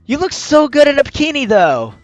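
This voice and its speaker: Animated game commentator, dry close sound. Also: high-pitched, brisk, no room sound. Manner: animated, jokey, teasing. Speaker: let's player and host. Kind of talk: let's play commentary and read-aloud narration. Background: game music.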